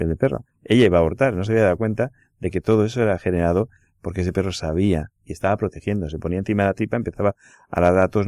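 A man who is a guest from a foundation, telling an anecdote on radio in a rushed, animated way. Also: sits low, no echo, clean studio sound.

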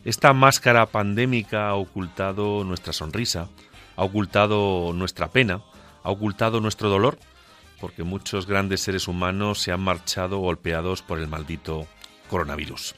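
Low-voiced Castilian man declaiming on radio. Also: fairly even intonation, moderate pace, pushed and bright. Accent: central Castilian Spanish. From La Mancha, central Spain. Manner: declamatory, rhetorical, emphatic. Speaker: radio contributor. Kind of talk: impassioned scripted radio monologue. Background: music under the voice.

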